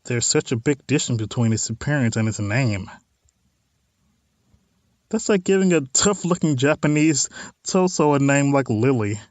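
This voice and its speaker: A man's voice: medium pitch, animated, moderate pace, long pauses, no echo. A man hosting a let's play of a visual novel, reading text aloud and adding informal, wry asides. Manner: informal, wry side comments on what is read.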